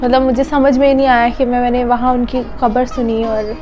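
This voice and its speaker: A sorrowful woman giving a heartfelt spoken tribute, halting as she searches for words and slipping in English phrases. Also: fairly high pitch, flat delivery, rough recording. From Pakistan. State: grieving, sorrowful. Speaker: singer paying tribute.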